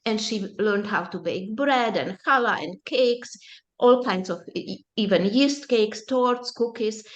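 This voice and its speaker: Slow-spoken older woman, Hungarian native. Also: mid-range voice. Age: 70s. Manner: slow, deliberate storytelling. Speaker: presenter at a support group. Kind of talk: personal testimony talk.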